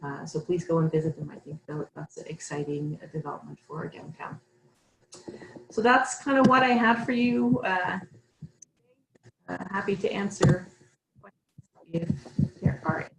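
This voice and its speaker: A woman's voice: fairly low pitch, fairly even intonation, moderate pace, gravelly, pauses often. A woman giving a conversational presentation to a town task force over a video call, with frequent fillers. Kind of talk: online meeting presentation. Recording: echoey room, faint noise.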